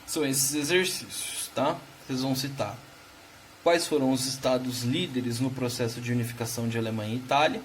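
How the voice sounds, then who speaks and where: A man's voice: medium pitch, fairly quick, a little hiss or hum. A Brazilian man teaching a recorded history lesson, explaining patiently and often checking in with his students. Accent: Brazilian Portuguese.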